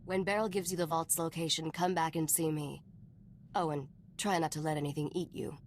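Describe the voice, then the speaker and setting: A woman playing a cool, shrewd smuggler's associate in a video game, measured and teasing. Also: low voice, steady tone, slight background noise, close to an everyday voice.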